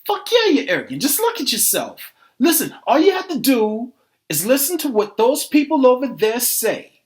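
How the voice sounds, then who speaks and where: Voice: medium pitch, lively intonation, moderate pace, close to an everyday voice. A performer playing an arrogant, self-righteous believer in a satirical dialogue, speaking with emphatic, impatient condescension and swearing. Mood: exasperated, preachy.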